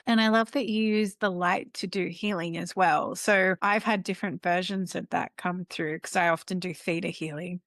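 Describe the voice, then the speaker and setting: Lively woman podcast host. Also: fairly low pitch, no room sound, studio quality. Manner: animated, enthusiastic storyteller. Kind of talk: casual interview chat.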